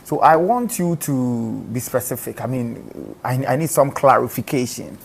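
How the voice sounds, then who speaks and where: An interview host with a gravelly, creaky voice at a middling pitch asks questions and acknowledges answers again and again with "oh, okay." The host often stumbles and restarts words, and a faint hiss of noise sits behind the voice. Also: normal speed. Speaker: talk show host interviewing a guest. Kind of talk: casual interview with an on-air sponsor read.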